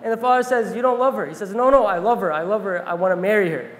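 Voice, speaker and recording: A young man giving an earnest talk on stage in a large hall, telling a story from his notes and pausing with fillers, then interviewing a guest. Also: sits high, slight background noise. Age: young adult. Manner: earnest, reflective, pauses with fillers. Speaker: speaker and interviewer. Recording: roomy hall reverb, faint ambience.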